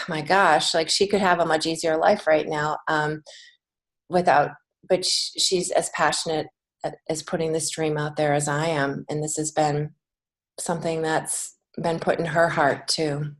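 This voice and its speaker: Lower-pitched American woman, earnest and reflective. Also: little pitch movement. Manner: earnest, reflective, halting with many false starts. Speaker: podcast guest, children's author and creative writing teacher. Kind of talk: casual interview conversation.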